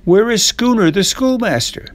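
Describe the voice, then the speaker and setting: A man's voice: high-pitched, expressive, moderate pace, no echo, pitched above the performer's own voice. A man playing a bewildered, good-natured old villager in a storybook, earnest and questioning. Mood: puzzled.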